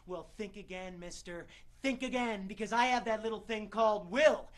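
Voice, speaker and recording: A man's voice: sits high, moderate pace, natural voice. A man performing a theatrical monologue as an exasperated, wisecracking struggling actor, sarcastic and colloquial. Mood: sarcastic, needling.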